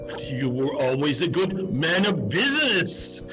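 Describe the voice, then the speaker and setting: A man's voice: on the high side, very animated, a little slow, over music, low-quality recording. A man playing a grumpy, miserly old businessman, blustering and exclamatory in a theatrical dramatic reading. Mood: shaken.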